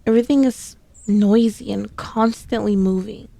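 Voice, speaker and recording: A woman's voice: medium pitch, steady tone, moderate pace, gravelly, warm tone, dry recording, lightly acted. A woman playing a sassy, sneaky, possessive centuries-old female demon in an ASMR roleplay, speaking in a haughty, teasing, formally old-fashioned way. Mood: grumbling, indignant. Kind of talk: ASMR roleplay, scripted character acting.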